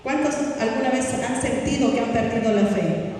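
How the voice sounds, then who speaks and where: A woman pastor preaching a sermon, drawing out her Bible readings slowly, recorded in a church hall from some distance. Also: fairly high pitch, darkish tone, a little hiss or hum. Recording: echoey hall, voice from far off.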